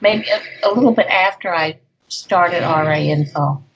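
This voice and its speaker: An older woman, a guest speaker, talking through an interview in an unhurried, rambling way with plenty of fillers. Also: lowish voice, lo-fi sound, crowd noise. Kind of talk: conversational interview.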